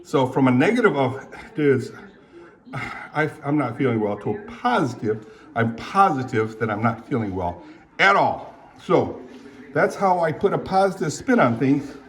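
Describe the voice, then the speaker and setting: Rambling older man clearing his throat. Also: medium pitch, expressive. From Indonesia. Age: 70s. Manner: rambling, halting, many fillers and throat clearing. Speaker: vlogger. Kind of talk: rambling casual monologue.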